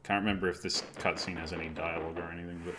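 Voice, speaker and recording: A man hosting a casual group dubbing stream with jokey, deadpan sarcasm. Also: low voice, racing. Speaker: host of a group live dub stream. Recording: game music behind the voice. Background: game music.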